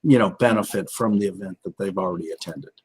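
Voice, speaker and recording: A man co-hosting a casual business chat with a husky, rough-edged voice, piecing his sentences together haltingly. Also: deep voice. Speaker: co-host and business speaker.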